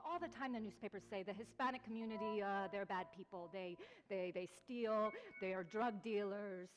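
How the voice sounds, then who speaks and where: Stage role: actress as earnest immigrant woman, mid-pitched, reverberant theater recording with faint music. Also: normal speed, close to an everyday voice. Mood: indignant.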